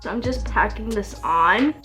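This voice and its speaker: A woman's voice: medium pitch, moderate pace. A woman talking casually as the host of a makeup tutorial, explaining each step in a chatty way. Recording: music behind the voice. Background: music.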